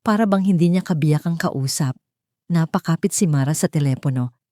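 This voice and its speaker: Mellow, lowish-voiced woman narrating a drama. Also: normal speed, no room sound, clean studio sound. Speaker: story narrator.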